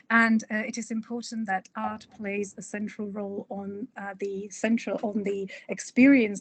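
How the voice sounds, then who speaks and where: A woman from the Republic, a curator giving a prepared but informal talk in an enthusiastic, effusive manner. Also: medium pitch. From Czech Republic.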